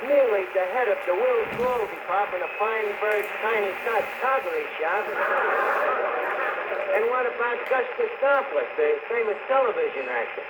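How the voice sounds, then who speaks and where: A man's voice: high-pitched, animated, moderate pace. An actor playing a boastful, slangy working-class New York tavern man in an old-time radio comedy. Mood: boastful, defensive. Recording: noisy, band-limited, echoey vintage shortwave radio broadcast.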